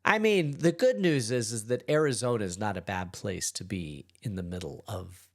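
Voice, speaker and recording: A middle-aged pastor with a hoarse, congested voice, leading a relaxed, rambling Bible study. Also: male voice, fairly low pitch, normal speed. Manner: relaxed, rambling, chuckles between thoughts. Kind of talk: casual daily Bible study talk.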